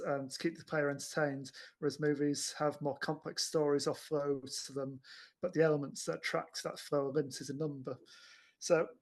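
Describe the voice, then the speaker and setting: A man with a moderately high voice speaks as a podcast panelist in casual discussion. He is hesitant, circling back over his words as he goes. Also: a little mellow.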